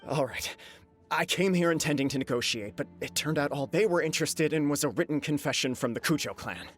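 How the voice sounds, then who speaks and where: A man voicing a composed clan heir in a video game, speaking in a calm, measured, earnest way. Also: on the high side, soft background music, close to an everyday voice.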